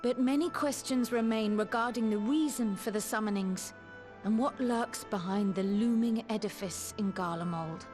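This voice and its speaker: A woman playing an earnest, determined scholar and strategist in a fantasy game, speaking formally and deliberately. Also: on the high side, a little mellow, faint music behind, natural voice. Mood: grave, resolved. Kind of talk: formal video game cutscene acting.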